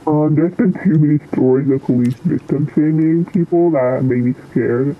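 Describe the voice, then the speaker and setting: A teenage guest answering interview questions hesitantly, with many ums and likes. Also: male voice, fairly high pitch, normal speed. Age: teens. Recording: tinny phone-like line with faint noise.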